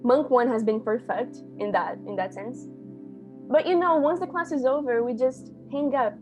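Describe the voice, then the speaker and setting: A woman's voice: on the high side, moderate pace, soft background music. A young woman from Brazil, an international student talking casually from her bedroom at home and filling her speech with "like". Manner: chatty, lots of "like", animated.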